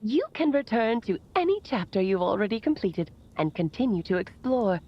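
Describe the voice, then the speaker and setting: A woman's voice: mid-range voice, expressive, moderate pace, dull audio. An actress voicing a playful, eccentric woman mage who speaks in a theatrical, sing-song way through a recorded message. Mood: teasing.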